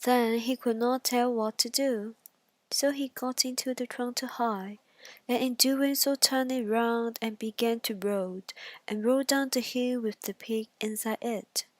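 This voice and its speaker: A woman narrates a bedtime story in a fairly high voice. She reads as a storyteller, at a slow, measured pace. The recording is dry, with little room sound. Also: dry recording.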